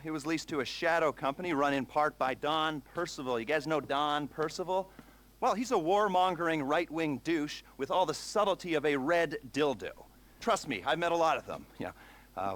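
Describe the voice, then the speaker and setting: Secretive handler for a video game briefing, cynical, plain-spoken, sardonic, a touch higher than average. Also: male voice, a bit bright. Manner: blunt, sardonic, conversational. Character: cynical, secretive male agent briefing a crew.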